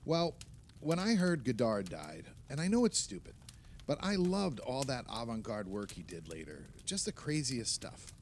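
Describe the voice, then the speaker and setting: A man's voice: medium pitch, very animated, moderate pace, a little hiss or hum. An actor playing a fretful, self-doubting older male novelist in a naturalistic audio play, hesitant and prone to rambling complaint. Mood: impassioned, bitter.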